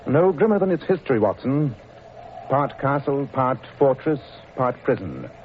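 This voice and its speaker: An actor playing a brisk, commanding Victorian detective in a 1940s radio drama, crisp and dryly cutting. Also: male voice, medium pitch, very animated, close to an everyday voice. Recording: tinny old radio broadcast, faint hiss.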